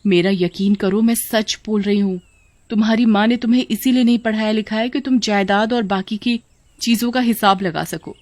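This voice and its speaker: A woman's voice: mid-range voice, steady tone, normal speed, darkish tone, no echo, close to an everyday voice. A woman voicing an outspoken, insistent young wife in a cartoon story. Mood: urgent, insistent. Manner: urgent, insistent, accusing. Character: educated, outspoken young wife confronting deceit.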